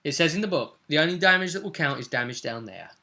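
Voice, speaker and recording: A man with a bright, forceful voice hosts as game master. He recaps a tabletop session in a casual way. Key phrases get repeated for dramatic weight. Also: a little high, lively intonation, quick pace. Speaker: game master and vidcast host.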